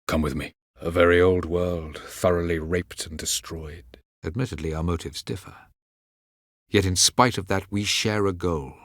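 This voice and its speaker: An actor playing an ancient, aloof elven sage in a video game, speaking in a measured, formal and condescending way. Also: male voice, deep voice, steady tone, fairly bright tone, no room sound, studio quality. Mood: grave, guarded. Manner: measured, formal, haughty delivery. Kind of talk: scripted video game dialogue.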